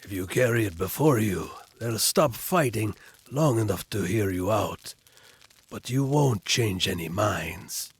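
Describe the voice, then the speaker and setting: A man's voice: lowish voice, expressive, slightly rough, exaggerated voice. An actor playing an old, weary Norse man who speaks portentously and foretells doom in a fantasy game. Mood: wry, bargaining. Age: older. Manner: slow, portentous.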